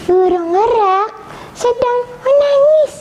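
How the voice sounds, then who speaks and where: A young girl telling a simple story in a halting, sing-song way. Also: very high voice, animated, a little mellow. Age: child. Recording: dull lo-fi sound, faint noise.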